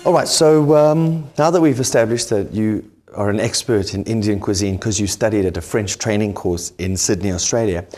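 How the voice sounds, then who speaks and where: A man's voice: lowish voice, normal speed, hardly any pauses, a little mellow, soft background music. A man hosting a cooking show, with a South African accent, asking playfully naive questions and joking with his guest. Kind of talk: comic banter on a cooking show.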